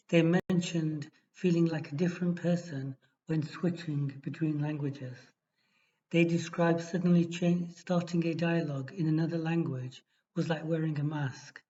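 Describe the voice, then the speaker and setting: A man tutoring for an exam, reading a model essay aloud in a steady, measured way with small restarts. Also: fairly high pitch. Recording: dull lo-fi recording.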